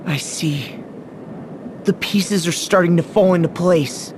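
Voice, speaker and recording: A man's voice: high-pitched, slow pace, background noise. A man playing a mild, dependable young hero in a video game, plain and agreeable in manner. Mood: thoughtful. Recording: game music behind voice. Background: music.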